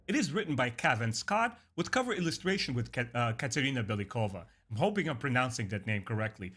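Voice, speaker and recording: A man's voice: medium pitch, fairly bright tone, slightly rough. Man hosting a casual book review. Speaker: host of a book review show. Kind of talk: casual video review with plot summary.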